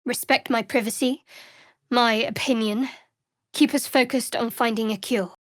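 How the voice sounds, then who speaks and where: A young woman cleric of a dark goddess, played by an actress for a video game, in a slightly high, closely recorded dry sound. She is guarded and sardonic, delivering everything with a dry, wry edge. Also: steady tone, unhurried, no echo, natural voice. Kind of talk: video game character voice acting.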